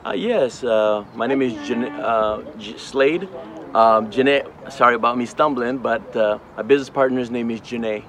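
A man's voice: mid-range voice, moderate pace, a bit bright. A man in his forties from Hawaii, co-owner of a small brand, interviewed outdoors on a red carpet with a handheld mic, talking in an upbeat, rambling way full of fillers and restarts.

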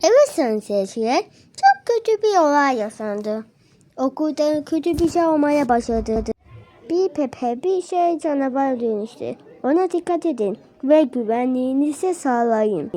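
A woman's voice: high-pitched, lively intonation, moderate pace, warm tone. A woman playing a grave announcer in an amateur cartoon, giving formal warnings like a mock news bulletin. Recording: noisy homemade recording.

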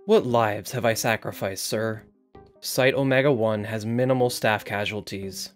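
A man's voice: on the low side, fairly even intonation, measured pace, faint music behind, close to an everyday voice. Casting: male actor as defiant site director for audio drama. Formal, insistent, sardonic edge. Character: defiant male research site director, arguing his case. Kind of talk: scripted audio drama interview.

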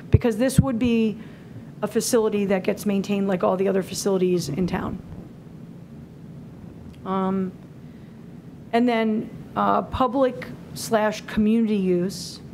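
A woman's voice: medium pitch, gravelly, darkish tone, slight background noise. A woman in her forties presents a scoring rubric to a committee in a town hall auditorium, talking it through with many fillers and restarts. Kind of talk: public meeting presentation. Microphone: table microphone.

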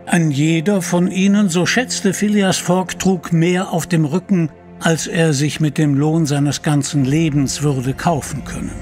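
Ein Mann erzählt theatralisch und getragen die Handlung eines Hörspiels. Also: male voice, on the high side, steady tone, measured pace, darkish tone, soft background music. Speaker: narrator. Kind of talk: scripted audio drama narration.

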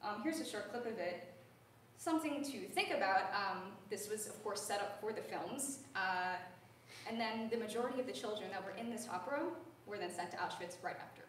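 A woman's voice: mid-range voice, expressive, a little fast, far from the mic. A young woman giving a spoken lecture in a recital hall, earnest and conversational with frequent ums, recorded from a camera across the room. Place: large recital hall with a grand piano. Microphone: far-off room camera. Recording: echoey hall, heard from afar, faint hiss.

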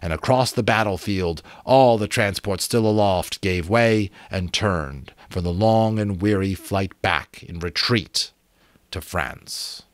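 Man, expressive audiobook reader, lowish pitch, animated intonation, close dry recording. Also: takes it slowly, no echo. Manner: expressive, emphatic storytelling. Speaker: audiobook reader and channel host. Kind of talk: dramatic reading of an adventure novel. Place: small room at home with bookshelves. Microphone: close desk microphone.

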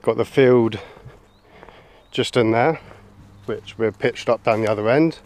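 A man's voice: medium pitch, measured pace, darkish tone. A young man with an English accent, chatting casually to camera while walking through woodland and camping with his son. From England. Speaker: bushcraft vlogger. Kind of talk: casual outdoor vlog. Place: woodland and grassy campsite outdoors. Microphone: handheld camera.

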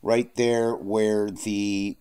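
A man narrating a screen-recorded software tutorial, explaining steps plainly with frequent fillers and restarts. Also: fairly low pitch, little pitch movement, drawn-out words, clean tone. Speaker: software instructor.

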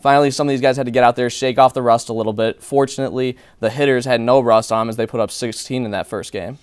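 Young guy doing sports analysis, smooth clear voice, dry close sound, talks with confidence. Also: medium pitch, steady tone, fast, no echo. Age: young adult. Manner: confident, fluent breakdown of games and players. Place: small TV studio.

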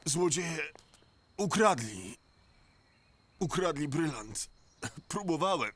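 Slow, earnest superhero man. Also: a little high, expressive, slightly rough, exaggerated voice. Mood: weakened, apologetic. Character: noble superhero man, measured, earnest, weakened at times. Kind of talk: dubbed cartoon acting. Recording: loud music under the dialogue.

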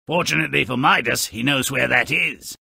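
An actor voicing a spiteful, mischievous gnome-like creature who narrates a video game tale with sardonic, theatrical relish in a gravelly, raspy voice. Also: male voice, mid-range voice, brisk, pushed and bright, dry recording, full character voice. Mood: sardonic storytelling. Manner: sardonic, exaggerated, relishing malice.

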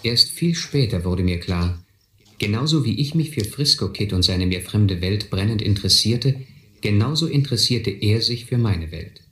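A man's voice: on the low side, expressive, normal speed, fairly bright tone. An actor playing a reflective man who narrates his boyhood adventures in a radio play. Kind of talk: scripted first-person radio play narration. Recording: echoey, slightly noisy audio drama recording.

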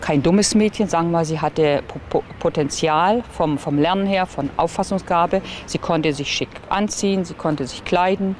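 An older woman, a former care worker, recalling a girl in an outdoor interview with halting restarts and tag questions. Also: fairly low pitch, darkish tone, a little hiss or hum. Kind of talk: recorded interview. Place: outdoors in a courtyard.

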